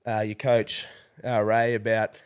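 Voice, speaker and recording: A man's voice: lowish voice, monotone, a little slow, clear, resonant tone. A man hosting a podcast in casual chat, congested from a cold, friendly and rambling with fillers. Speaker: podcast host.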